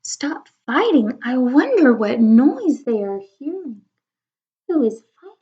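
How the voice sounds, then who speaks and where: Female storyteller for young kids, high voice, expressive and wondering, chatty asides, slightly muffled lo-fi sound. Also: takes it slowly. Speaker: read-aloud storyteller. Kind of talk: children's picture book read-aloud with chatty asides. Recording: dull lo-fi recording.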